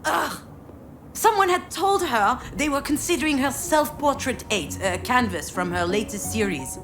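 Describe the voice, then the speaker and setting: A woman's voice: sits high, expressive, moderate pace, a bit bright, faint music behind. An actress playing an overwhelmed, sardonic woman in her thirties in a narrative game, hesitant and naturalistic. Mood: animated, exasperated. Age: 30s. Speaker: protagonist of a visual novel. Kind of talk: naturalistic dramatic game voice acting, dialogue and inner monologue.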